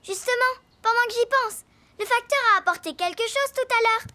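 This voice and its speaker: A woman's voice: very high-pitched, brisk, bright, forceful tone. A woman voicing a confident young elephant in a children's cartoon, chirpy and encouraging. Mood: perky. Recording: music behind the voice.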